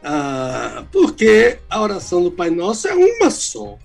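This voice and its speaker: Older pastor from Salvador, husky and high. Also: male voice, huge pitch swings, unhurried, faint music behind. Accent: mixed Brazilian Portuguese, little Bahian. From Salvador, Bahia, Brazil. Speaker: pastor, guest teacher. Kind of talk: radio Bible teaching.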